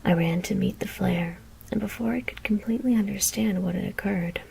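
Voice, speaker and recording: A woman whispers a scary story in a hushed, deliberate ASMR narration. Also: mid-range voice, gravelly, slight background noise, poor audio.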